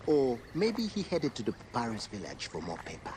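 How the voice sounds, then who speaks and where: An actor playing a playful, teasing villager in a video game with an exaggerated, singsong delivery. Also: male voice, medium pitch, wildly swooping pitch, moderate pace. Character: playful, teasing male villager named Neb. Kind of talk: video game cutscene acting. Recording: noisy game ambience behind.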